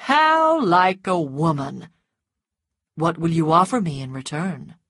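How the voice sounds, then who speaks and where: A woman voicing a mocking, self-assured man in an audiobook story, blunt, deliberate and teasing. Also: sits low, animated, fairly bright tone, breathy edge, no echo, clean studio sound, full character voice.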